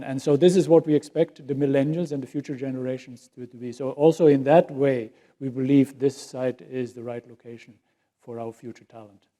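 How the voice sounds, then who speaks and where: A man's voice: mid-range voice, warm tone, in a large hall. A man from Belgium with a Belgian accent, answering at a press conference and often repeating words as he thinks. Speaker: company executive.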